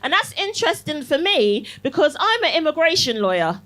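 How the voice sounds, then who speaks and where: A woman's voice: high-pitched, big pitch swings, fairly quick, a bit bright. A young woman from Barbados performing a cheeky stand-up set in a comedy club, teasing the crowd and laughing through her lines. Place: comedy club, live audience.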